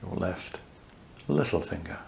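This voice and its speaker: A man with a low voice, heavy with gravelly creak, guides a deep relaxation meditation. He speaks softly and without hurry, leaving long gaps between short, soothing phrases. A faint fireplace ambience sits behind him. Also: lively intonation. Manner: soft, unhurried, soothing, with long pauses. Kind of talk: guided yoga nidra meditation. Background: crackling fireplace ambience.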